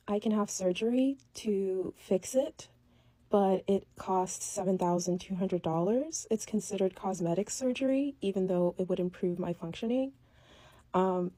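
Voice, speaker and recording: A woman in her twenties speaking to camera in a steady, matter-of-fact way. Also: medium pitch. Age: 20s. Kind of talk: direct-to-camera vlog.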